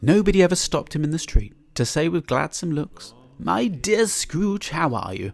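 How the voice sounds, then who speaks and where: A man's voice: a little high, big pitch swings, normal speed, gravelly. A man giving a live dramatic reading of a classic tale in a church, theatrical and savouring each descriptive word. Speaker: reader.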